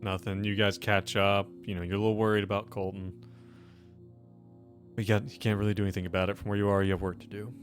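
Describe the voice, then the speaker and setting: Male game master, low register, off-the-cuff tabletop narration over faint music. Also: level tone, fast talker.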